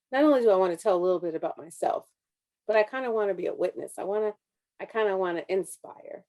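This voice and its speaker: A woman's voice: medium pitch, a little mellow. A woman in her thirties, a city councilwoman, giving an earnest, reflective personal speech over a video call. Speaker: city councilwoman, panel speaker. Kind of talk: personal speech at an online forum.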